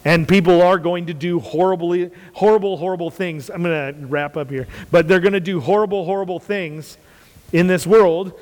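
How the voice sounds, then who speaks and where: Loud-voiced earnest man in reverberant room. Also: high voice, fairly even intonation, normal speed. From United States. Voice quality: loud, carrying voice. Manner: earnest, repeats phrases for emphasis. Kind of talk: casual faith talk.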